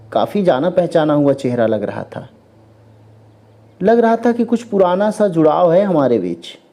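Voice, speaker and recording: A storyteller narrating a scripted first-person story in an expressive, dramatized way. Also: mid-range voice. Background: background music.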